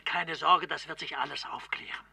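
A gravelly EU director. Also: male voice, fairly high pitch, fairly even intonation, normal speed, pushed and bright, no room sound, heavily acted. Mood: reassuring. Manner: soothing, formal reassurance. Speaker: director general. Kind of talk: radio play acting.

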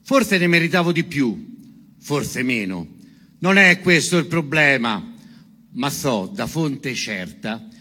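A man giving a dramatic, declaimed reading of a statement in emphatic, measured phrases. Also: medium pitch, very animated, bright, forceful tone. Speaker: performer reading a testimony. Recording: long reverberant hall echo.

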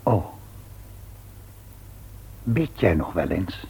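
A man playing an earnest, patient, devout middle-aged father in a radio play, with measured delivery and a rough-edged husky voice. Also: deep voice, sing-song, warm tone, exaggerated voice. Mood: sombre, resigned. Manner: measured, grave, deliberate. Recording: old radio play recording.

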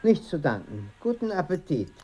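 Radio drama casting: actor playing an unctuous, officious room-service waiter, mid-pitched and ceremonious, with some background noise. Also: big pitch swings, moderate pace, warm tone, close to an everyday voice. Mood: servile. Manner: ceremonious, officious, over-polite. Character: formal, unctuous, stiffly correct luxury hotel room-service waiter. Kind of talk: radio play acting.

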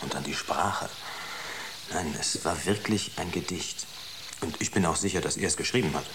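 Male actor as sceptical spaceship scientist, low voice, matter-of-fact, clipped phrases, radio play, background noise. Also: moderate pace, close to an everyday voice. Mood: concerned, insistent. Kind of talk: naturalistic radio drama acting. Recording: noisy radio drama recording.

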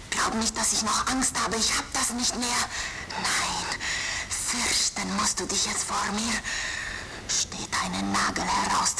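Through clear background noise, as on a tape, an embittered woman speaks. She is slow, insistent and menacing, in breathy, halting short phrases. It is a fearless, wounded radio-drama monologue. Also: medium pitch. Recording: noisy taped voice with ambience.

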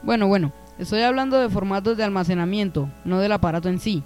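A man's voice: sits high, fast, darkish tone, natural voice. A man with a Colombian accent playing a friendly young local in a radio drama, explaining things casually. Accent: Colombian Spanish. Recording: music bed under the voice.

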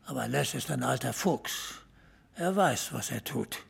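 A man playing a drily knowing small-town club regular in an audio drama, telling local stories with emphasis in a gravelly, husky voice. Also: mid-range voice, animated, unhurried, heavily acted. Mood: wry, knowing. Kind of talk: German audio drama dialogue.

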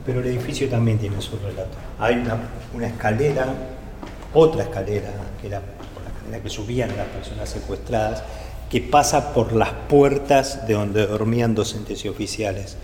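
A man's voice: fairly low pitch, moderate pace, a little mellow. A man with an Argentine accent giving a spontaneous, explanatory guided tour of a site. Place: indoors, large building stairwell while walking. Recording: echoey stairwell and halls, background noise.

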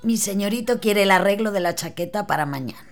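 A woman's voice: mid-range voice, lively intonation, fast, lightly acted. An actress playing a weary older working-class woman who sews for her masters, talking tenderly with her husband in a radio drama. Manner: tired, sighing, tender. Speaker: servant seamstress.

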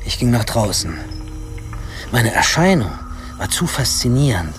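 An actor playing a wry, self-important first-person narrator in a radio drama, dry and ironic. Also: male voice, mid-range voice, big pitch swings, a little slow, soft background music. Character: wry male narrator looking at his own youth, self-important.

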